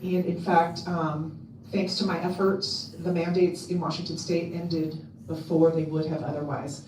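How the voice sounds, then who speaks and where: A woman with a loud voice giving a casual talk to a convention audience, chatty and full of ums and restarts. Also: low voice, little pitch movement, unhurried, background noise. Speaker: convention speaker. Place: convention hall. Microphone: handheld mic on a stand. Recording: echoey hall, mic at a distance.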